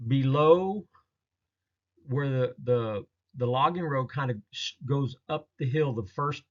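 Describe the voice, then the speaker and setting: A man hosting his own video, talking casually and storytelling as he explains pictures to viewers, in a rambling, halting way with repeated words. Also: medium pitch, a bit bright, dull audio. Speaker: host of Bigfoot research channel.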